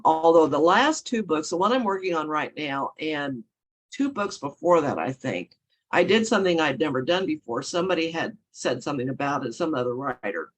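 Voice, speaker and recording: Woman author, hoarse and froggy from a cold, casual rambling chat. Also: low voice, expressive, a little fast. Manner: rambling, self-correcting, chuckling often. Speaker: guest author.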